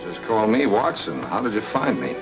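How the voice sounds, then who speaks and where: A cynical noir private eye with a lowish voice. He talks in a dry, clipped, sardonic way and stays unflappable. It is old-time radio drama with clear music underneath. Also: very animated, normal speed, rough recording. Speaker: actor in detective radio drama.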